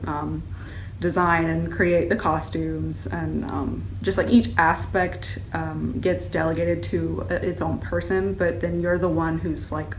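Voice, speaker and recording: In a roomy, echoing space with faint noise, a young woman gives casual interview answers. Her voice is on the low side and her phrasing is loose and conversational. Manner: loose, filler-heavy phrasing with many "like"s. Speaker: student theater director being interviewed.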